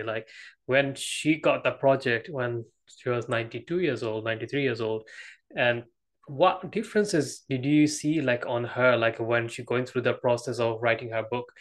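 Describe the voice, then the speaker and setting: A woman hosting an interview podcast, her voice sitting unusually deep. She keeps the guest going with short, supportive replies and follow-up questions. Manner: supportive, many short backchannels. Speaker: podcast host. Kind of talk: conversational interview.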